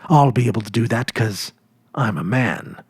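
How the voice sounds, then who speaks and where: A man's voice: medium pitch, moderate pace, breathy edge, dry recording. A man voicing a confident, eager ten-year-old farm boy in a storybook, earnest and emphatic, with a boastful know-it-all manner. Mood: earnest, curious.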